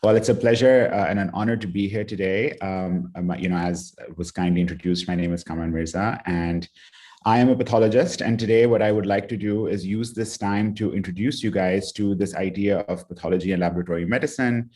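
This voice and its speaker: A man from Pakistan, a pathologist, giving a relaxed online talk over a video call, with many "you know" and "kind of" fillers. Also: low-pitched, fairly quick. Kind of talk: online lecture with slides.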